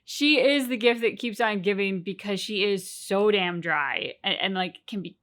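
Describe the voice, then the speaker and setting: Bright-voiced woman in casual chat. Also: mid-range voice, moderate pace. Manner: animated, rambling with many restarts. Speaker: co-host of a game reaction channel.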